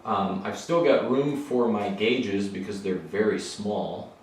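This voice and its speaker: A man's voice: on the low side, slow pace, slight background noise. A middle-aged man from Minnesota casually thinking aloud with fillers while working on a motorcycle in his home garage. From Minnesota, USA. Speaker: motorcycle builder and channel host. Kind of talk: casual workshop vlog. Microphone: camera mic, moving around the room. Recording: roomy garage, sometimes far from mic.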